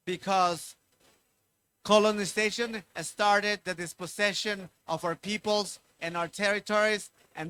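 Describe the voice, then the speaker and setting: A man moderating a panel discussion, speaking haltingly and repeating words. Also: high voice, pushed and bright, smooth, dry recording. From Ecuador.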